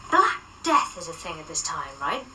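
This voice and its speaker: A woman's voice: low-pitched, moderate pace. An actress playing an eccentric paranormal agent in a radio drama, matter-of-fact and blithely confident as she reasons aloud. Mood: matter-of-fact scheming. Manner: blithe, analytical, deadpan. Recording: slightly distant, clear background noise and ambience.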